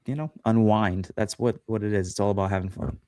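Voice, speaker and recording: A man's voice: lowish voice, fairly even intonation, a little fast, dark tone, dry recording, studio quality. A man chatting as a guest on a livestream podcast, talking loosely with lots of fillers and self-deprecating asides. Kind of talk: casual chat on a livestream.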